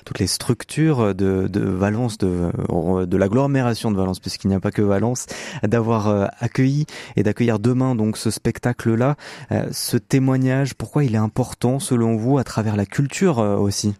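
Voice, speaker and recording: Male radio host, dark mellow tone, close dry sound, halting conversational questions. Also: lowish voice, dry recording. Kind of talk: conversational live radio interview.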